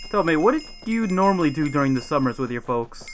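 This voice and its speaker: A man's voice: fairly high pitch, big pitch swings, fast. A man playing a dry, evasive adult guardian in an improvised game roleplay, understated and hesitant. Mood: evasive, probing. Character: adult male guardian, dry and evasive, minding two teens. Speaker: roleplay actor in a Minecraft series. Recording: music and chimes behind the voice.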